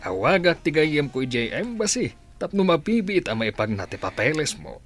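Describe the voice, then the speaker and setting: Genial, animated restaurant boss. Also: male voice, a little high, moderate pace, faint music behind. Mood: assuring. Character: genial restaurant boss, confident and well connected. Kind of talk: Ilocano radio drama acting.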